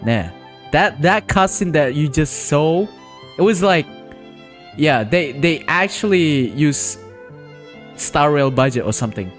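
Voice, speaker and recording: A man streaming a game live and reacting with excited, slangy comments. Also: on the high side, takes it slowly, long pauses. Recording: stream mic with game music under.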